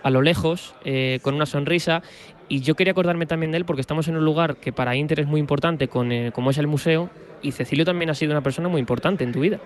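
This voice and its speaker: Fast-talking radio co-presenter. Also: male voice, on the high side. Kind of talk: live radio interview.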